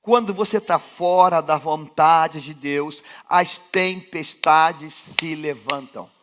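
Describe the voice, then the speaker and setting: A Brazilian pastor with a Rio de Janeiro accent, preaching a sermon in a large church sanctuary, who poses rhetorical questions and repeats refrains, swinging from quiet to loud. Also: male voice, fairly high pitch, slow. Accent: Rio de Janeiro Brazilian Portuguese.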